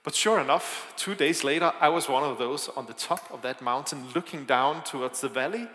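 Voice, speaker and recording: A man's voice: fairly high pitch, moderate pace, bright, forceful tone. A man from Denmark with a Danish accent preaching a sermon, telling personal stories in a chatty, self-deprecating way and laughing often. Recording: echoey hall with long reverb.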